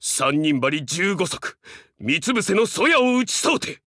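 A man's voice: sits high, very animated, measured pace, sharp tone, no room sound, clean studio sound, heavily acted. A man voicing a young, fiercely loyal dog-man samurai in a Japanese game, speaking earnestly in formal, archaic warrior speech with dramatic delivery. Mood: fierce, battle fervor.

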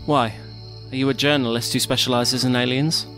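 A man's voice: mid-range voice, steady tone, fairly quick, pushed and bright, soft background music. A man playing a dry, sardonic detective. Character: Duggan, dry wry male detective. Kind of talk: audio drama acting.

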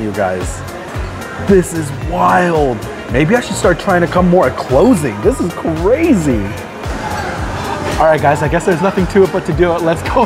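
Upbeat man reviewing food in a restaurant. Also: a little high. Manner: enthusiastic, savoring each bite with upbeat praise. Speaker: food vlogger. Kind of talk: casual food review to camera. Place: busy restaurant dining room, indoors. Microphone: phone or camera held at arm's length. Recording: background music playing in restaurant.

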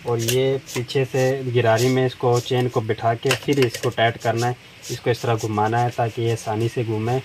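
A middle-aged man, calmly explaining step by step how to fit a chainsaw blade at a tool shop counter. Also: mid-range voice. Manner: plain, unhurried explaining while working with his hands. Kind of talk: step-by-step product demonstration. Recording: noisy shop recording.

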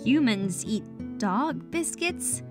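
A woman's voice: fairly high pitch, slow pace. A woman playing a teasing, giggly young unicorn student in human form for a fan fiction audio drama. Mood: disbelieving. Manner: animated, amused. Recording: music playing behind voice.

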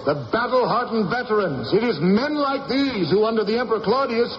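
An actor playing a proud, passionate ancient British war leader in a radio drama, with a theatrical, rhetorical delivery. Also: male voice, high-pitched, lively intonation, brisk. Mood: impassioned oratory. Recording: dull, hissy old radio recording, echoey hall.

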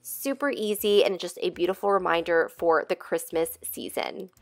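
A woman's voice: on the low side, normal speed, no room sound. A woman with a nasal voice, getting over a cold, talking through a craft tutorial in a chatty, rambling voice-over. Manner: chatty, rambling, friendly asides. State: recovering from a cold. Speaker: DIY craft channel host.

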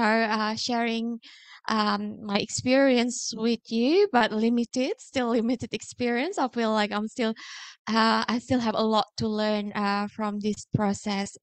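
A middle-aged woman with an Indonesian accent gives a public lecture in a chatty, self-deprecating way, with many fillers, on a handheld mic in a lecture hall. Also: a little high. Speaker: guest lecturer.

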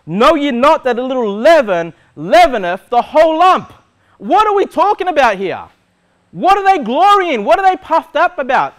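A man, preaching a sermon as pastor in a church hall, asking questions and gesturing widely. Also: very high voice. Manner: animated preaching with wide gestures, rhetorical questions. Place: church hall with metal walls.

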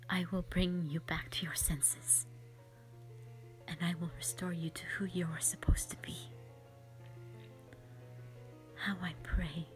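A woman voicing a gentle, protective elder dragon in a slow, solemn, whispered audio roleplay monologue, full of sorrow. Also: lowish voice, steady tone, lightly acted. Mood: grave, resolute. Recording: music behind the voice.

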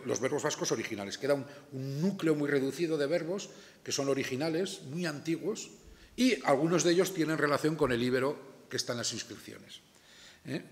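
An older man with an accent from Spain giving an informal, emphatic lecture, often digressing and asking rhetorical questions. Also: medium pitch. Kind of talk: informal academic lecture to an audience. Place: indoor lecture room, seated at a table. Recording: echoey lecture room recording.

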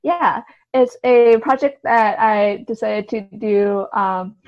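A woman's voice: on the high side, slow pace. A woman answering conversationally as an interview guest, explaining things in a tentative, hedging way. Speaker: podcast guest, writer and translator. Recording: slightly dull, lo-fi sound.

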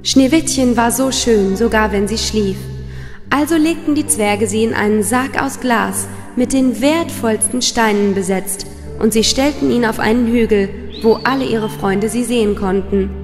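Higher-voiced woman storyteller. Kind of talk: scripted children's fairy tale narration. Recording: long reverb, music behind.

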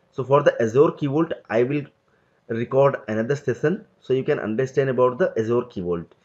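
A man talking through a screen-recorded software lesson at a middle pitch. He explains each click step by step in a loose, unpolished way. The audio is a bit dull and lo-fi. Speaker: tutorial instructor.

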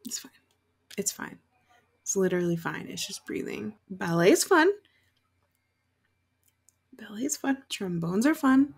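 Young woman chatting thru a show reaction, slow paced, swears a bit. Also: medium pitch, lively intonation, husky. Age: young adult. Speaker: reaction video host. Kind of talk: casual reaction commentary. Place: small furnished room at home, indoors.

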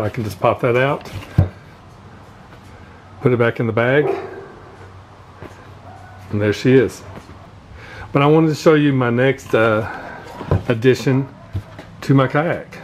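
A man giving a casual, unscripted hands-on gear walkthrough as a vlogger, pausing often mid-phrase. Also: medium pitch. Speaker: vlogger showing fishing gear. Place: indoor garage workshop. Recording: steady background noise, room ambience.

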